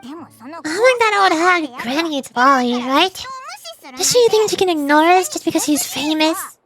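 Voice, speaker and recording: A very high little fairy game companion, acted out by a woman. She is blunt, excitable and quick to take offense, exclaiming her complaints over faint music. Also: exaggerated voice. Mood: indignant. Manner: speaks of herself in third person, exclaiming.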